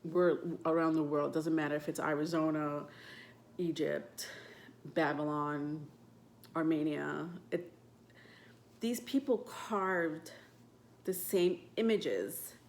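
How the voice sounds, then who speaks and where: Stuffed-up woman vlogger with a low voice, loose and rambling delivery, filler-heavy, slight room hiss. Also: steady tone, slow, pauses often. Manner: rambling, frequent ums and self-corrections. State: stuffed up nose. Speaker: tarot and astrology vlogger. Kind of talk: casual talk to camera.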